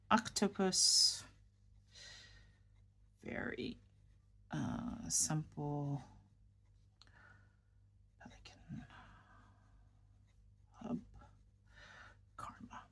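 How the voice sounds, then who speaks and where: A woman's voice: deep voice, creaky, a bit bright, breathy edge. A woman from New York with a New York accent, chatting casually and rambling a little as she writes in a notebook. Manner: rambling, self-correcting, murmurs while writing. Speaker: fountain pen vlogger. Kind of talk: casual chat while writing.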